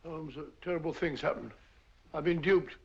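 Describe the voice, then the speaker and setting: A fussy stammering doctor, high lively voice, muffled old radio recording. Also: male voice, moderate pace, vocal fry, slightly rough. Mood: flustered. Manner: fussy, stammering, easily rattled. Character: flustered bumbling male doctor companion, middle-aged. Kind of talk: 1940s radio acting.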